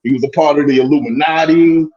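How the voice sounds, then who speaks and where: A man's voice: a little high, fast, noisy background. Fired-up male caller on a muffled line. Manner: fired up, rambling, repeats "you know what I mean". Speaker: guest caller. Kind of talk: heated casual commentary. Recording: muffled lo-fi call audio.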